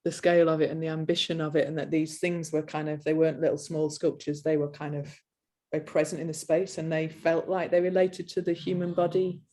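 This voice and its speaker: Woman host, flat even voice, hesitant questions, casual. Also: low voice, a little fast. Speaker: interviewer. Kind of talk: casual conversation.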